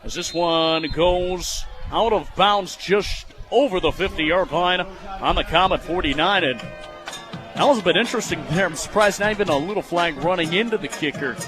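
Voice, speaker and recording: A young American man calls football live on the radio. His voice is high and bright, with an excitable, forceful delivery that pushes up for the action. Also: moderate pace, soft background music. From United States, Michigan. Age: 20s. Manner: excitable, raising his voice on plays. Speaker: radio play-by-play announcer.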